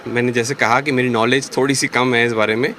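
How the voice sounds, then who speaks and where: A man's voice: medium pitch, steady tone, a little fast, sharp tone. A man answering a casual street interview in a market, polite and noncommittal, mixing English words into his speech. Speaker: local market voter being interviewed. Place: outdoors in a city market. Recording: faint outdoor traffic noise.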